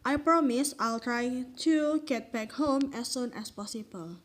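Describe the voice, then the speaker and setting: A woman's voice: a little high, unhurried, close to an everyday voice. A woman playing a loving, caring mother in an amateur radio drama, with a doting, motherly way of talking. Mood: concerned, loving.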